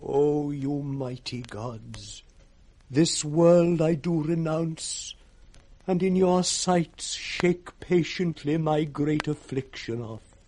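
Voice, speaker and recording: An actor playing a weary, despairing blind old nobleman with a British accent, in solemn measured verse for 1960s radio Shakespeare. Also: on the high side, darkish tone. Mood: despairing prayer.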